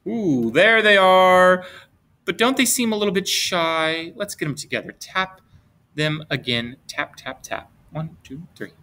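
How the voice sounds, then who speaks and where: A middle-aged man reading a picture book aloud to young children in a playful, expressive way, drawing out words and posing simple questions. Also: on the high side. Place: indoors at a desk, webcam with book camera.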